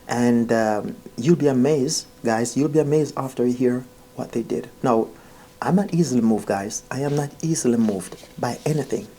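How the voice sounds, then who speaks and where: Mellow-voiced man, earnest storyteller. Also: medium pitch, normal speed. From Jamaica. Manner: earnest, emphatic, repeats phrases, often addresses listeners directly. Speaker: vlogger. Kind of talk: informal personal storytelling to viewers.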